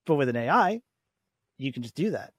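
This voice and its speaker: A man talking in a relaxed, conversational way as a panelist in a casual podcast discussion. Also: medium pitch, a little fast, no echo, clean studio sound.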